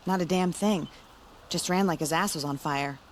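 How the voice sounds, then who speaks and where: A woman's voice: lowish voice, normal speed, background noise, natural voice. A woman playing a blunt, wry city bystander in a video game, talking in a casual, salty way. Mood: wry, offhand.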